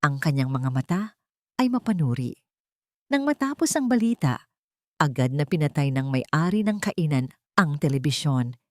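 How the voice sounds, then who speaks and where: Female audiobook narrator for scripted drama, low register, dry studio-like sound. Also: big pitch swings, normal speed, long pauses, no room sound.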